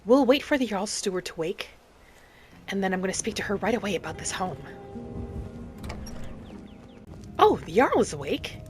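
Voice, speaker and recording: A woman voicing a gentle, hopeful young wood elf adventurer, narrating her journey softly and without hurry over background music. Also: mid-range voice, sing-song, natural voice. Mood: calm. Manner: soft, unhurried, dreamy, earnest. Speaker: roleplay series host. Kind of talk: in-character scripted narration, storytelling. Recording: music behind the voice.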